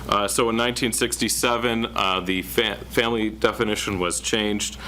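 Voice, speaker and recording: A man presenting as a city planning director at a public meeting, in a self-described monotone with many uh fillers. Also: on the low side, moderate pace, slight background noise. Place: council chamber.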